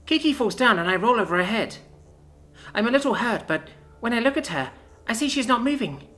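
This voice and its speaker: A woman's voice: a little high, sing-song, fairly quick, fairly bright tone, pitched above the performer's own voice. A woman voicing a small, frightened young girl in an audiobook, childlike and pleading. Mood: worried. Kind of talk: audiobook character acting.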